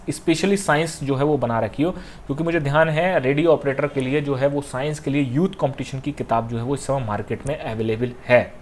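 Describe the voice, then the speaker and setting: A man gives informal exam advice to students as a coaching teacher, instructively repeating key points and checking in often. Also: a little high.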